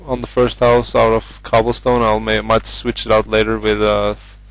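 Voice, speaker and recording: A young man from Norway speaks English with a Norwegian accent while commentating a game. His delivery is flat and even, with little rise and fall. He hesitates often, filling gaps with ums and uhs as he chats. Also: fairly low pitch, normal speed, no echo, poor audio. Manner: hesitant, many fillers, chatty. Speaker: gamer.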